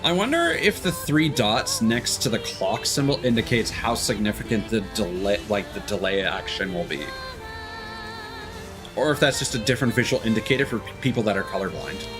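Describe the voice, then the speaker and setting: A man's voice: lowish voice, expressive, normal speed. A man giving casual, rambling live commentary as he thinks aloud through a video game. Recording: music behind the voice. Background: game music.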